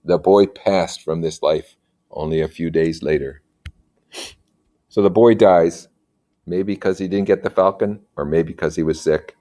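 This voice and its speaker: A man with a fairly low voice reads literature aloud like a teacher. He mixes plain narration with conversational, explanatory asides. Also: a little slow, warm tone. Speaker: teacher reading and commenting on literature. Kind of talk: read-aloud story with informal explanatory asides.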